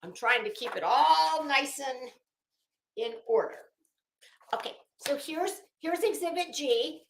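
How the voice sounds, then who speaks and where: A woman's voice: sits high, expressive, slow, vocal fry, reverberant, a little hiss or hum. A woman giving opinionated, casual live commentary as a host, blunt, combative and emphatic, with free swearing.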